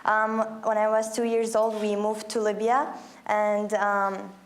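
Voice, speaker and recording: A woman in her early twenties from Iraq, speaking as a panelist with many pauses, ums and restarts. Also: mid-range voice, level tone. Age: 20s. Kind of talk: panel discussion.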